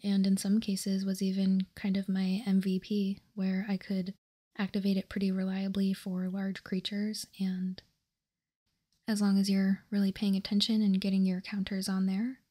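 A woman whispering softly and breathily in an ASMR style, calm and unhurried, as she hosts a card walkthrough. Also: medium pitch. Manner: calm, unhurried, reading out and commenting. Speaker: host of a card game ASMR channel.

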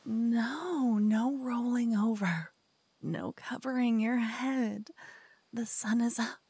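A woman voicing a cocky, playful lover who whispers and teases softly in an ASMR roleplay. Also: a little high, slow, slightly rough, no room sound. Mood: playful teasing. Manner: playful, teasing, soft whispering. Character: cocky playful young woman, a devoted lover waking her partner. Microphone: binaural mic.

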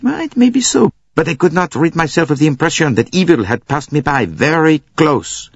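Man voicing Hercule Poirot, pitched-up deliberate detective. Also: big pitch swings, husky, dry recording, highs cut off, full character voice. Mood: grave, musing. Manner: deliberate, musing, drawn-out phrases. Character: Hercule Poirot, precise, thoughtful Belgian male detective. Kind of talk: audiobook character voice.

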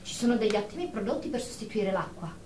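A woman's voice: medium pitch, lively intonation, quick pace, darkish tone, lightly acted. A woman acting a defensive, obsessive, germ-phobic nurse of forty-five in a radio drama, hesitant and repetitive. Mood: insistent, defensive. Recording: distant roomy recording with faint noise.

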